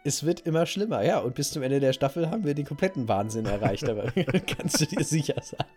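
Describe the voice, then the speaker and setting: A man's voice: a little high, expressive, fairly quick, darkish tone, faint music behind. A man with a German accent co-hosting a podcast, explaining things in casual conversation. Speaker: podcast co-host.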